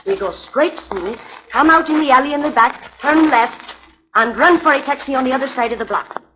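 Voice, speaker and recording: A scheming foreign countess who runs a gang, giving orders in a clipped, commanding way. Her voice sits a little high. It comes through a dull, hissy old radio transcription. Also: lively intonation, normal speed. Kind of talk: 1940s radio acting.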